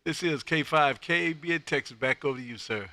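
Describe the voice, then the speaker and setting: A man's voice: a little high, a little fast, very noisy. An exuberant, folksy man hosting an amateur radio livestream, chatting on air and often repeating his phrases. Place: home radio room.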